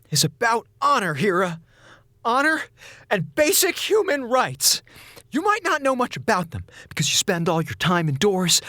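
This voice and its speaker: A man's voice: very high voice, pushed and bright, dry recording, clean studio sound, full character voice. An actor playing a wisecracking, defiant male comms officer who talks in a sarcastic, theatrical way. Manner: sarcastic, theatrical, quick with jokes. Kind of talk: audio drama acting.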